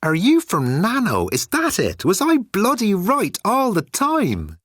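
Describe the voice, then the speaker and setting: A man voicing a scheming, excitable, sceptical Irish leader with folksy, exaggerated turns of phrase. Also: high-pitched, a little slow, no echo, clean studio sound, voice pushed up, full character voice. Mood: shocked, indignant.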